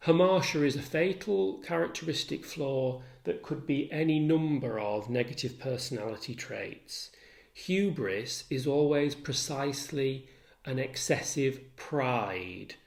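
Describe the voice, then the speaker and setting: A man lecturing as an English literature tutor, explaining in a deliberate, formal way with precise wording and occasional asides. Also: medium pitch, creaky. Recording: echoey, roomy sound.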